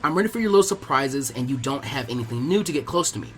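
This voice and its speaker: A man's voice: mid-range voice, expressive, fast, a bit bright, faint music behind, pitched below the performer's own voice, lightly acted. A man voicing a confident, teasing young ninja girl with a frank, self-assured manner. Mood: doubtful, frank.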